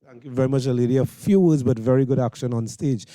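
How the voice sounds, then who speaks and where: A man's voice: medium pitch, fast talker, mellow, dry recording. A man with a Trinidadian accent hosting informal interviews with performers at an event. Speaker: interviewer and host.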